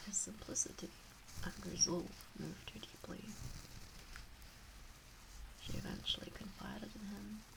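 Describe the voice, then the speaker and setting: A woman voicing a gentle fox witch, telling a wistful tale in soft, breathy, often whispered ASMR storytelling. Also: mid-range voice, steady tone, background noise. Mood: tender, wistful. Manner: hushed, breathy, measured with long pauses.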